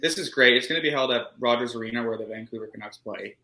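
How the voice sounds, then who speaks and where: A man's voice: medium pitch, fast talker, bright, forceful tone. A man from Canada bantering casually as a sports show co-host, joking and wry, with echoey room sound.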